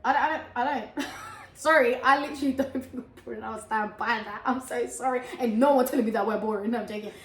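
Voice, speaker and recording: A young woman from Colombia, loud and hyper, co-hosts a casual chat and laughs through her points. Her voice sits a touch high. The room around her rings with echo. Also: quick pace, few pauses. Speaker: podcast co-host.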